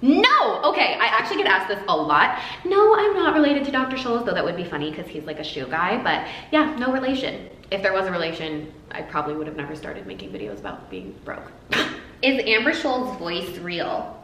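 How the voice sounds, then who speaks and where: A woman's voice: medium pitch, sing-song, a little fast, sharp tone, distant mic. A young woman from the United States answering fan questions in a playful, jokey self-interview vlog, with big swings of inflection. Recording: roomy echo, faint noise.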